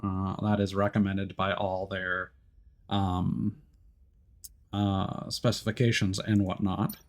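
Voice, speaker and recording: A man's voice: low voice, level tone, slow pace. A man livestreaming a video game with casual, chatty and informal commentary, talking to his viewers.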